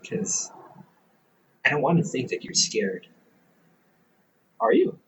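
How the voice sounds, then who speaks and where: A man performs an older brother in an intimate audio roleplay, a male scholar who teases gently and reassures. His delivery is unhurried and halting, with pitch that moves freely up and down. There is faint noise behind him on a hobby microphone recording. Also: huge pitch swings, gravelly, full character voice, poor audio. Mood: teasing. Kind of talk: intimate audio roleplay, one-sided conversation.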